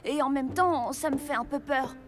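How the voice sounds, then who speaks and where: A woman's voice: high voice, steady tone, normal speed, close to an everyday voice. A woman voicing an eager, good-hearted young boy in a French children's cartoon. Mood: excited, earnest. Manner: earnest, wide-eyed. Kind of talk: French animated series dialogue.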